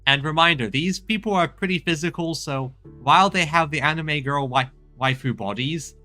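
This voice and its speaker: A game streamer giving casual, halting commentary, often restarting thoughts. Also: medium pitch, pushed and bright, soft background music.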